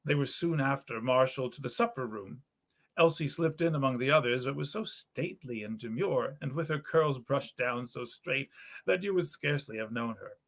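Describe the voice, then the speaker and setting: A man reading a 19th-century tale aloud in an expressive, measured storyteller's manner with old-fashioned diction. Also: medium pitch. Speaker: story reader, actor.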